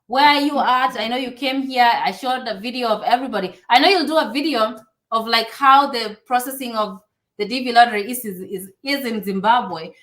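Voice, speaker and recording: A woman hosts a casual interview, nudging her guest along with rambling, filler-heavy questions. Her voice sits fairly high, and the room adds a clear echo. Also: a little fast, fairly bright tone. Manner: prompting, loose with fillers like "like". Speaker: host. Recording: roomy echo.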